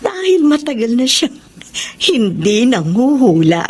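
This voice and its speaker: A woman's voice: a little high, sing-song, a little slow, darkish tone, soft background music. A middle-aged Filipino woman acting a contented wife and mother in a radio drama. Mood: happy, reflective. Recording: faint background noise.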